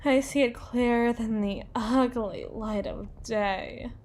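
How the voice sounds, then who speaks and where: A woman's voice: fairly high pitch, fairly even intonation, a little mellow. A woman playing a tipsy, haughty, melodramatic female boss in a flirtatious ASMR roleplay, theatrical and given to playful wordplay. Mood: challenging, flirty. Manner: theatrical, drawn-out, playful wordplay, slipping into breathy whispers. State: acting tipsy.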